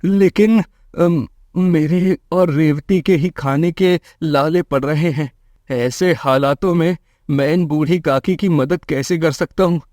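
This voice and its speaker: A man voicing a kind, selfless, poor village husband in a cartoon moral story, earnest and gentle. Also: on the high side, slow pace, dry recording, clean studio sound. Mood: hesitant, kind.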